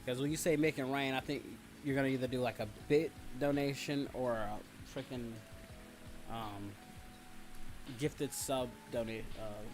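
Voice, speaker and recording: A man's voice: mid-range voice, fairly even intonation, a little slow. Slangy man livestreaming over music. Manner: slangy, rambling, teasing. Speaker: livestream host and content creator. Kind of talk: casual livestream chat, reading and answering viewers. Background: music, rain ambience.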